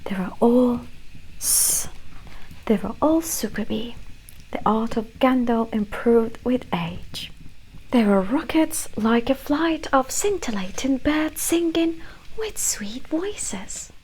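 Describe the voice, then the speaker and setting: A woman with a mixed British and Canadian accent whispering a story in a gentle, hushed ASMR storytelling style. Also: a little high, animated, a little hiss or hum, low-quality recording. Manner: gentle, hushed, unhurried delivery.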